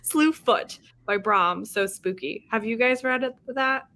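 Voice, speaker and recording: Female livestream host. Bright, forward voice, animated and giggly, informal chat among friends. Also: on the high side, a little slow. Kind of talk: casual group chat.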